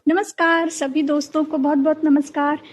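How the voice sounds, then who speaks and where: A woman's voice: high-pitched, flat delivery, moderate pace. A woman hosting a live programme in a casual, conversational way, talking with listeners and a guest. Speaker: host of a writers' interview programme.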